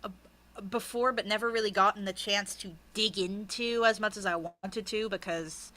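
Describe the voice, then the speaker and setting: A woman's voice: medium pitch, fairly even intonation, moderate pace, sharp tone. A woman speaking as an interviewed author in casual, chatty talk, candid and jokey with a self-deprecating streak. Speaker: author being interviewed about a crowdfunded book.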